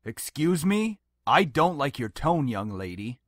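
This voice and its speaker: A man's voice: mid-range voice, sing-song, unhurried, no echo, clean studio sound. A man playing a stern, contemptuous middle-aged father in a game, with a scolding, dismissive manner. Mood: angry, scornful. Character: stern, domineering, contemptuous father of a grown daughter. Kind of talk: dramatic game voice acting.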